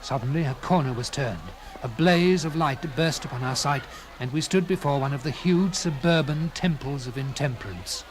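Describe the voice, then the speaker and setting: A man's voice: fairly high pitch, moderate pace. Noisy recording, man narrating dramatically. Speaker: narrator reading a classic short story. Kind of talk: dramatic first-person literary narration. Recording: clearly noisy with background ambience.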